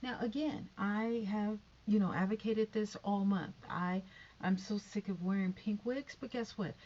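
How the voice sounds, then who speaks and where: Husky-voiced woman in her fifties rambling. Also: medium pitch, fairly even intonation, measured pace, a little mellow, lo-fi sound. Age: 50s. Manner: chatty, sighing, drifting into whispered asides. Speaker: YouTube vlogger. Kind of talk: rambling casual vlog.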